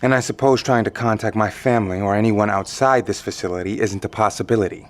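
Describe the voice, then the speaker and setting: A man's voice: lowish voice, steady tone, quick pace, natural voice. Figure: man playing a skeptical, challenging survivor. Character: indignant male survivor in custody. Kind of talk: audio drama acting.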